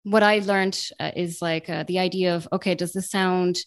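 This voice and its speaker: A woman's voice: on the low side, steady tone, normal speed, no echo, clean studio sound. Chatty woman in her late twenties. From born in Italy, raised in Italy, the US and Ireland. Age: late 20s. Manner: chatty, with frequent ums and self-corrections. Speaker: guest, translator and radio journalist. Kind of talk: casual interview answers.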